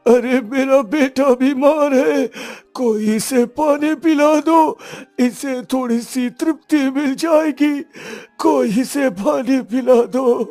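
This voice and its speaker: A woman's voice: high voice, slow, few pauses, warm tone. A woman voicing an anguished hermit father begging plaintively for help in a children's cartoon story. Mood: pleading. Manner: pleading, plaintive. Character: pleading male hermit, anguished father of wounded son.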